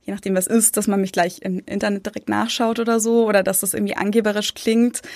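A woman's voice: medium pitch, level tone, quick pace, darkish tone, no echo. A young woman from Germany, a content creator, chatting casually in an interview with many fillers. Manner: chatty, many fillers, mimics others in quotes.

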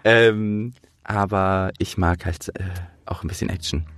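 Low-voiced man, close and dry. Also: steady tone, measured pace, fairly bright tone, clean tone, no room sound, soft background music. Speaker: interviewer and host. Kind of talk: casual, chatty interview.

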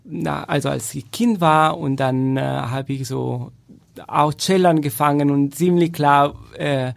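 A man from Italy speaking German with an Italian accent, a cellist talking about his path, pausing often with fillers. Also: on the high side, fairly even intonation, creaky. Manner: hesitant, many fillers. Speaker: cellist and festival founder. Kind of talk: casual podcast conversation.

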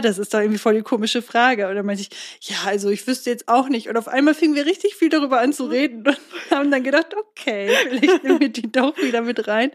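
A young woman co-hosting a casual podcast, chatty and rambling, laughing often as she tells stories. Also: on the high side. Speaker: podcast co-host. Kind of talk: casual chat.

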